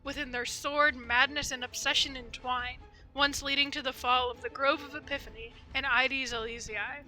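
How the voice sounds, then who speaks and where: A woman live-streaming a game with casual, excitable, gushing commentary. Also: high-pitched, normal speed, rough recording. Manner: excitable, gushing fan talk. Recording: game music behind the voice. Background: video game music.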